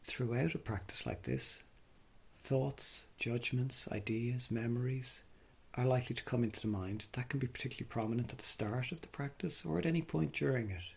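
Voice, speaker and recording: A man leading a guided body scan meditation, speaking gently and without hurry, leaving long pauses. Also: medium pitch, vocal fry, breathy edge. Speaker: mindfulness meditation teacher.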